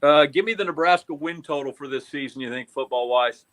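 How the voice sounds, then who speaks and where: A man's voice: fairly high pitch, brisk, a bit bright. An American man hosting a casual sports interview, bantering and joking as he throws out questions. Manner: jokey, bantering. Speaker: podcast host, interviewer.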